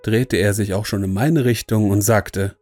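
Male narrator for creepy audio story: deep-leaning, soft dark tone, scripted first-person telling over quiet music. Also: fairly even intonation, normal speed, dry recording.

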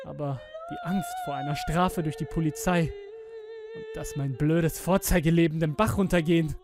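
A young man acting a guilt-ridden, haunted twenty-year-old who gives a halting, emotional confession in a horror audio drama. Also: fairly high pitch, huge pitch swings. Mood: desperate, haunted. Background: music with violin.

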